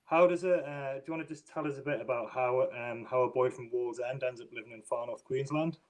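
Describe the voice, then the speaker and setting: A man with a Northeast English accent hosts a relaxed podcast chat. He often pauses on ums and restarts his sentences. His voice comes through a video call. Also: medium pitch. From northeast England. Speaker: podcast host.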